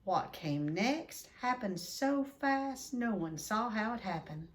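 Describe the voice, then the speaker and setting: Slow-paced woman reading a children's story. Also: mid-range voice, animated. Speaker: read-aloud storyteller. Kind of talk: expressive children's book reading.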